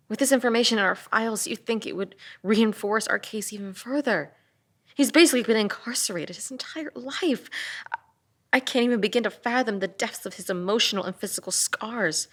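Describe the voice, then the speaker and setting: Principled, sardonic female investigator read by a woman, mid-range voice, sharp-tongued, for an audio drama table read. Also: mid-range voice, moderate pace, natural voice. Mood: troubled, pensive. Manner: earnest, blunt, sardonic.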